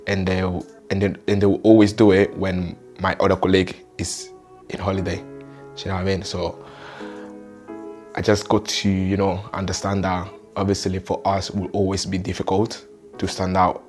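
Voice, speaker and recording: Male interviewee, low and monotone, casual story told loosely, faint music under. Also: moderate pace. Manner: conversational, meandering with fillers. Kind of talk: casual personal account.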